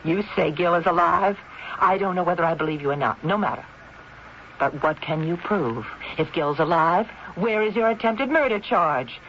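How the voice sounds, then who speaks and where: An actress playing a scornful, defiant wife accused of murder in a mocking, theatrical 1970s radio mystery style. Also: female voice, low-pitched. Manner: mocking, theatrical, sharp-tongued. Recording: muffled, noisy 1970s radio recording.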